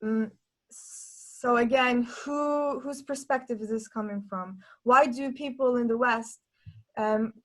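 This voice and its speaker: A woman from Norway speaks in a panel discussion. Her voice sits somewhat high. She talks earnestly and reflectively, stopping and restarting as she thinks aloud. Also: slow pace, a bit bright.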